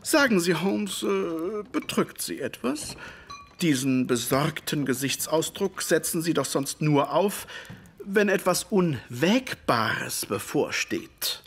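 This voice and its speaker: An actor playing a loyal, puzzled gentleman narrator in a detective audio drama, with measured, formal, literary phrasing. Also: on the high side, big pitch swings, slightly rough, exaggerated voice. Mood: concerned, hesitant.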